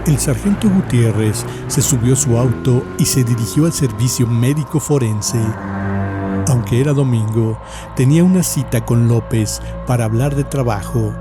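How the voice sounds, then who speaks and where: A man reading a scripted horror story in a measured, solemn way with dramatic pauses. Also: mid-range voice. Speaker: narrator. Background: music.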